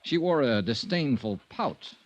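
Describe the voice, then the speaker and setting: An actor playing a dogged, wry insurance investigator in old radio noir, direct and skeptical. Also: male voice, medium pitch, expressive, measured pace, natural voice. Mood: clipped noir narration. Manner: hard-boiled, direct, skeptical. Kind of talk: 1950s radio noir acting and narration.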